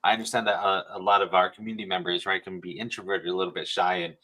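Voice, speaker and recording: A man's voice: sits low, level tone, fast, sharp tone, rough recording. A man hosting a community video call, stammering into sentences with repeated words and encouraging his guest. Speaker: community host. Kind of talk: casual chat on a video call.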